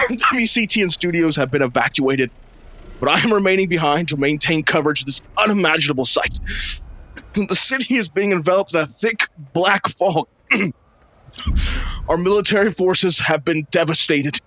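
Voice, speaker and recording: A man's voice: fairly high pitch, normal speed, bright, forceful tone, no room sound. A man playing a grave, urgent radio news reporter holding his post during an invasion, in old-time radio drama style. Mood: grim, shaken.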